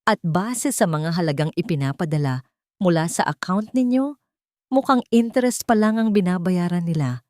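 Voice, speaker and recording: A woman with a Filipino accent voicing a serious attorney who speaks in a grave, measured manner for an audio story. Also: lowish voice, animated, warm tone, no room sound, clean studio sound, lightly acted. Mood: grave, explaining. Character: serious, informed attorney giving grave news.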